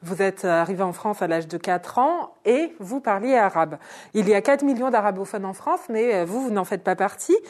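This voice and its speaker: A woman hosting an interview, restating the guest's work before asking her questions. She speaks at a middle pitch with frequent hesitations. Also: animated, normal speed, a little mellow. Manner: summarizing, hesitant fillers. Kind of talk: radio interview.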